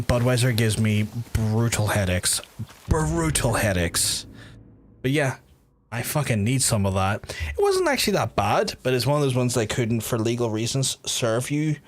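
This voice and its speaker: A man's voice: mid-range voice, slight background noise. A young Irish man chatting casually while livestreaming a video game, rambling with fillers and casual swearing. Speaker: game streamer.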